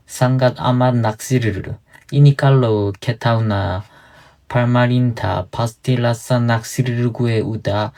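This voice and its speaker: A speaker giving a casual comic monologue, full of playful, drawn-out wordplay. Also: mid-range voice, warm tone.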